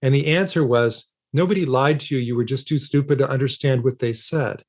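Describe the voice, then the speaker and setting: A man's voice: medium pitch, a little fast, darkish tone. Man, emphatic conversational explainer. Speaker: interview guest, philosopher of science and author.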